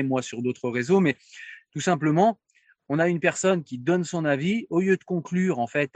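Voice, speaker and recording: A man's voice: a little high, no echo. A man in a casual political discussion, hesitant and full of filler sounds as he introduces the topic. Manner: hesitant, lots of filler sounds, loose phrasing.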